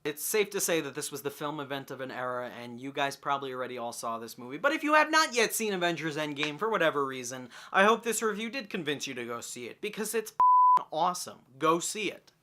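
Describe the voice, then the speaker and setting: A young man giving an enthusiastic video review in an excitable, emphatic, informal way with some mild swearing. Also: a little high, fast, a little hiss or hum. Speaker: movie reviewer.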